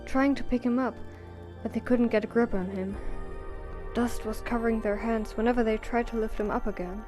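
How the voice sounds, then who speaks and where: A woman's voice: fairly high pitch, steady tone, moderate pace. A woman reading scripted narration for an audio drama. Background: background music.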